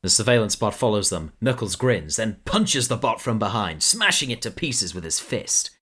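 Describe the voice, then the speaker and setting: Very deep-voiced woman narrator. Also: sing-song, moderate pace, background noise. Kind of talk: scripted narration.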